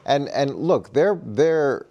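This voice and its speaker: Male coach-panelist, dark mellow mid-pitched voice, halting unscripted sports debate. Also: expressive, creaky, muffled recording. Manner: halting, repeats words as he argues.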